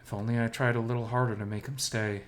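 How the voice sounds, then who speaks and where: A man narrating a first-person visual novel story in an expressive, scripted reading style. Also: mid-range voice, fast, slight background noise.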